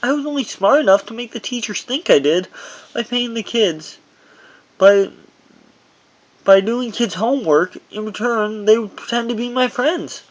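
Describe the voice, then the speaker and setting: A man reading his own first-person memoir as audiobook narration, in a halting delivery that stumbles over words. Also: extremely high pitch, animated, muffled recording.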